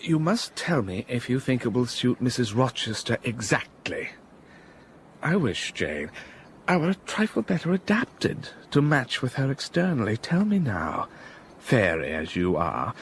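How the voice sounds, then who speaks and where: A brooding gentleman master, teasing and mock-reproachful. His voice rises and falls lively in a radio drama, with a faint hiss behind it. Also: mid-range voice, moderate pace. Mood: playful.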